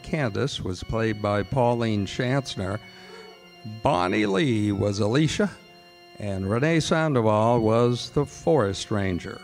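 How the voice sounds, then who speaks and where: Music plays behind a man announcing radio drama credits. His delivery is formal and scripted, in a medium-pitched voice. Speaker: announcer and director.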